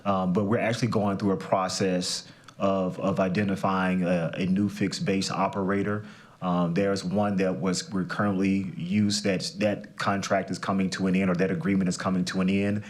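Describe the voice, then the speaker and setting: Casting note: county administrator, man with a very low voice, measured formal briefing. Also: flat delivery. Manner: measured, with many "uh" fillers.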